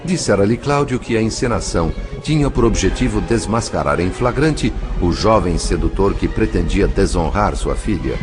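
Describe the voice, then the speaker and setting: Deep-voiced Brazilian man narrating solemnly. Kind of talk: scripted dramatic first-person narration of an audio novel. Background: music.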